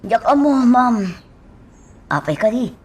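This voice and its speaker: A woman's voice: a little high, big pitch swings, slow pace, a little mellow. A woman acting a chatty, deferential fish delivery worker in a radio drama, with an Ilocano Filipino accent.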